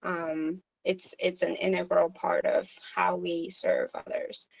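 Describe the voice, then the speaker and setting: Slow, hesitant woman. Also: fairly low pitch, little pitch movement, gravelly, poor audio. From Korea. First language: Korean. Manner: hesitant, many fillers and restarts. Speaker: social worker. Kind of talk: supervision meeting conversation.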